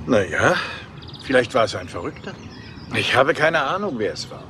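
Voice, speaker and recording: An actor playing a smug Hollywood gossip reporter in a German radio crime drama, cool, dismissive and clipped. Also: male voice, medium pitch, big pitch swings, moderate pace, background noise, lightly acted. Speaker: gossip columnist.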